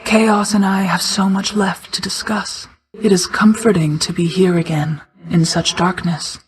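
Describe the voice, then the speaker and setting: A woman playing an ancient, regal mother goddess of night in a video game, speaking in a formal, measured, restrained way, hushed at times. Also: mid-range voice, fairly even intonation, a little hiss or hum, natural voice, low-quality recording. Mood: serene, formal. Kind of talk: scripted video game character acting.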